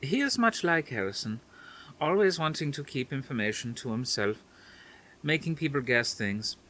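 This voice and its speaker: A man's voice: medium pitch, very animated, normal speed, bright, forceful tone, slight background noise, pitched above the performer's own voice, rough recording. A man reading an audiobook, voicing a stately grandmother near seventy with a faint Dutch accent who speaks firmly and formally. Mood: amused. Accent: faint Dutch, as put on. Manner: firm, soothing, formal phrasing.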